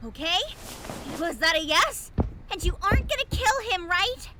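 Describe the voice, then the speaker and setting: Very high, hyperactive scrappy girl. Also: expressive, moderate pace, noisy background. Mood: smug. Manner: breathless, bossy, rambling. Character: hyperactive scrappy young girl, cheerfully menacing. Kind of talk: fan dub comic voice acting.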